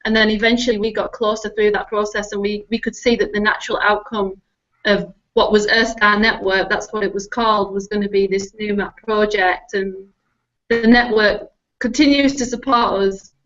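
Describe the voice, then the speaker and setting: A woman's voice: medium pitch, steady tone, normal speed, fairly bright tone. Northern English woman, muffled stream. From Northern England. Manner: chatty, laughs easily, many ums. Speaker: guest. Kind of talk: relaxed interview talk. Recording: slightly muffled live stream audio.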